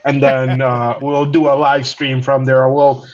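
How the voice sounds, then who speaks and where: A man's voice: mid-range voice, flat delivery, measured pace, muffled recording. A man chatting casually on a live stream, laid-back, often repeating and restarting his words.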